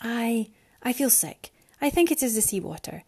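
A woman's voice: fairly high pitch, lively intonation, normal speed, darkish tone, husky. A woman voicing a defiant, frightened young woman who speaks with rising heat.

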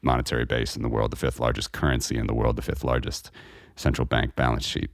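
A man with an extremely deep, low voice hosts a casual talk, rambling and full of fillers. The sound is dry and close, with no room heard around him. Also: little pitch movement, quick pace, gravelly, no room sound. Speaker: podcast host. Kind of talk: casual conversation about money. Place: lives in Eastern Europe.

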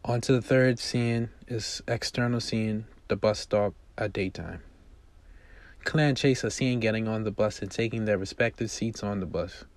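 Lowish-voiced man narrating a script. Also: moderate pace, no echo. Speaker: amateur screenwriter reading own script. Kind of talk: read-aloud script narration.

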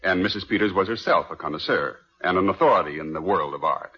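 A man's voice: sits low, fast, breathy edge. An actor playing a formal, fussy lawyer in 1950s radio comedy style, precise and stiff, hesitating as he opens. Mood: precise, measured. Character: formal, fussy male lawyer bearing complicated news. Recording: dull vintage radio recording.

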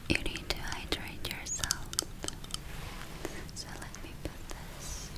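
A woman whispering breathily in a soothing, halting way, playing a gentle spa witch in an ASMR roleplay. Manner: slow, halting, soothing reassurance. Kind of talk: ASMR roleplay, personal attention.

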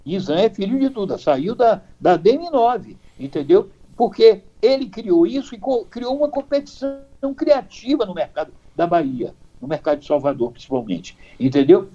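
An older advertising veteran speaks as an interview guest, pressing his points and often checking that he is understood. His voice is dark and mellow. The recording is dull and muffled. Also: sits high, expressive, moderate pace, few pauses. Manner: emphatic, keeps asking "entendeu?". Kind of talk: casual interview talk.

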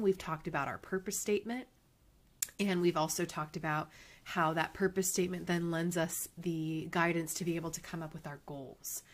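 A woman hosting her own podcast, talking in a casual, upbeat and encouraging way with a slightly nasal voice. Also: lowish voice. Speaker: podcast host. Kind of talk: casual motivational monologue.

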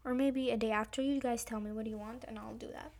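A young woman hosting her own podcast, slightly hoarse as she recovers from being sick, giving earnest advice straight to her listeners. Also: on the high side, steady tone, fast, a little mellow, a little hiss or hum. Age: young adult. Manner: earnest, emphatic, addresses listeners directly. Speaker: podcast host. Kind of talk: casual solo podcast advice talk.

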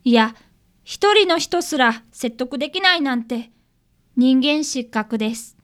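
A woman playing a very high-pitched earnest little boy. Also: animated, unhurried. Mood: dejected. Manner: polite, earnest, deferential speech. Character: earnest fifth-grade boy, apprentice detective, polite and self-reproachful. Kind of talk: radio drama acting with narration.

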